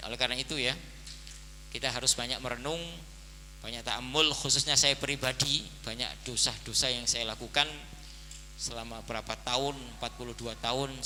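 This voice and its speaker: A man in his forties, head of a boarding school, preaching slowly to his students and often saying "nah, ini" to fill his pauses. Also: mid-range voice, bright, forceful tone. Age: 40s. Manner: slow, measured preaching with the repeated filler "nah, ini". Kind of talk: birthday speech and sermon to students. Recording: hall reverb with faint noise.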